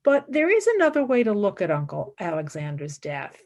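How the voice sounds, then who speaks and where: A woman's voice: mid-range voice, huge pitch swings, a little fast, darkish tone. Woman acting an intense young intellectual, widely swinging intonation. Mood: grave, intense. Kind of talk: radio play acting.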